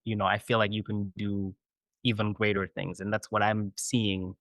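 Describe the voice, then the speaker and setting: A man's voice: lowish voice, little pitch movement, normal speed, a bit bright, dry recording. A man, a chaplain guest on an interview podcast, explaining his views thoughtfully and often checking in with "you know" and "right?". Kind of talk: conversational interview.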